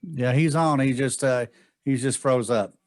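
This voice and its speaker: A man's voice: medium pitch, a little slow, a little mellow. An American man casually moderating an online meeting as its host. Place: indoors on a video call.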